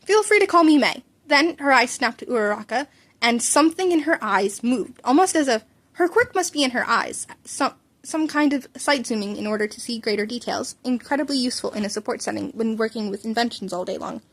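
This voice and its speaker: A woman with a fairly high voice narrates a fan-made story. She reads as an amateur storyteller, sometimes tripping on a word and going back over it. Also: brisk, a bit bright. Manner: stumbles over and repeats words. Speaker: fan fiction reader.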